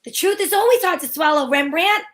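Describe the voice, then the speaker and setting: A woman's voice: very high-pitched, brisk, a bit bright. A woman playing a breezy, sensible, take-charge female sleuth in an old-time radio mystery, with a wry manner. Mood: wry, matter-of-fact. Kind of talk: old-time radio mystery acting with first-person narration.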